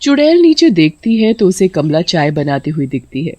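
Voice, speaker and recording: A woman's voice: fairly low pitch, very animated, normal speed, warm tone, no room sound, dull audio. A woman narrating a scripted story as its storyteller.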